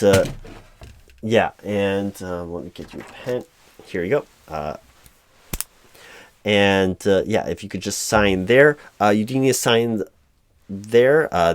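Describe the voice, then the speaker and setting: A man performing a job interview roleplay as a flustered, apologetic hiring manager, speaking formally in a halting monotone. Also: lowish voice, dry recording. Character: mildly frustrated, apologetic male corporate hiring manager. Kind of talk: one-sided job interview audio roleplay.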